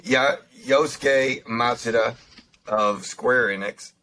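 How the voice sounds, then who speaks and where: A young man with a slightly raspy voice co-hosts a casual podcast, talking his way through stories with frequent fillers and restarts. His pitch sits in an ordinary middle range. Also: sharp tone, slight background noise, rough recording. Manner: halting, lots of fillers and restarts. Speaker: podcast co-host. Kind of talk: casual chat, reading news articles.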